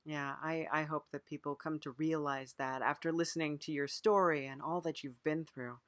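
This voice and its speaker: Deadpan podcast interviewer, dry close sound. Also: medium pitch, normal speed, dry recording. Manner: deadpan, sympathetic, hesitant. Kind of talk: improvised mock interview.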